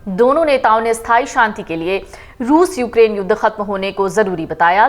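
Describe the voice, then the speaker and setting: A woman reading a scripted news report in a steady, formal manner. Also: a little high. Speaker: news reader.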